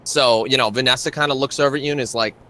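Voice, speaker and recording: A man narrating a tabletop game off the cuff as game master, halting and repeating phrases. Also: fairly even intonation, bright, forceful tone. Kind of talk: casual improvised tabletop narration. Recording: noisy live stream with low rumble.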